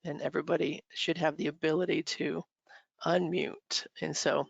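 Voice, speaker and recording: Gravelly-voiced man moderating a webinar. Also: on the high side, flat delivery, moderate pace, no echo. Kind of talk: casual webinar hosting. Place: online video meeting. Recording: dull, dry online-call audio.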